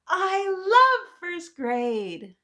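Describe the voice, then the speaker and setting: A woman's voice: very high voice, big pitch swings, very slow, pushed and bright, pitched above the performer's own voice, full character voice. A woman voicing a sad, eager first-grade boy in a pleading, childlike manner for a storybook. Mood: excited shouting.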